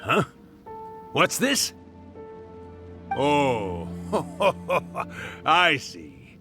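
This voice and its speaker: Menacing author spirit, game, slow, wide-ranging and gloating. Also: male voice, on the high side, wildly swooping pitch, exaggerated voice. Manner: theatrical, gloating, swings from hushed to grand. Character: scheming male spirit of a dead author, theatrical and menacing. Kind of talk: video game voice acting. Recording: game audio with loud music.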